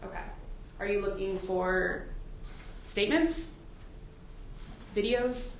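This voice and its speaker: Echoey, creaky-voiced woman defense attorney. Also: medium pitch, slow pace. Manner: conversational, informal, explanatory. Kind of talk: questioning prospective jurors in court. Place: courtroom, into a microphone. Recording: echoey courtroom audio, muffled, noisy.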